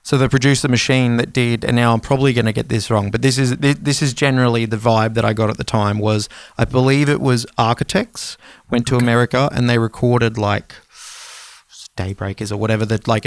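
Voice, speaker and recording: A man's voice: mid-range voice, steady tone, brisk, no echo. A man in his thirties co-hosting a podcast in casual conversation, rambling along and swearing casually. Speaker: podcast co-host. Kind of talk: casual music podcast chat.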